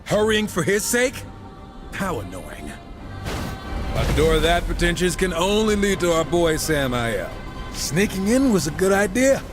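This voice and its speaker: A man's voice: sits high, very animated, measured pace, over music. An actor playing a cocky, wisecracking supernatural horseman in a video game, sarcastic and casual. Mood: mocking. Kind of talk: video game cutscene acting.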